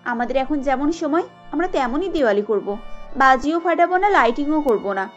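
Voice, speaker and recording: A modest young daughter-in-law as a woman acts her in a cartoon drama. She speaks in a very high, polite voice, patient and reassuring, with faint music underneath. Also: expressive, a little fast, highs cut off. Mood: gentle, reassuring. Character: humble, gentle, poor young daughter-in-law, patient and contented.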